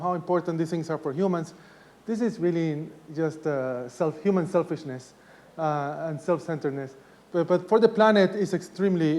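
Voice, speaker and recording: A man in his forties from Ecuador lectures to students, holding his voice down because his throat is sore. His voice sits a little high for a man. He talks in a halting way, full of fillers and restarts. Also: darkish tone. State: sore throat, speaking softly. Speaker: professor giving a lecture. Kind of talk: university lecture.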